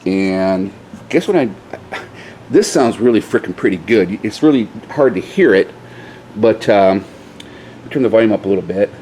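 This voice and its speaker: Deep-ish guy thinking out loud, casual rambly diy show and tell, noisy recording. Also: lively intonation, normal speed, pauses often. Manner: rambling, hesitant, informal. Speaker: DIY vlogger. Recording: clearly noisy, radio chatter at times.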